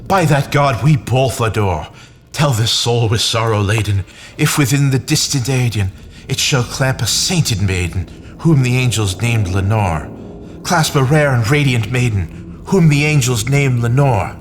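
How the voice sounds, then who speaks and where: A man reading a gothic poem as a theatrical narrator, with a solemn, measured, brooding delivery. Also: mid-range voice. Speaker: narrator, poetry reader. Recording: clear background noise and ambience.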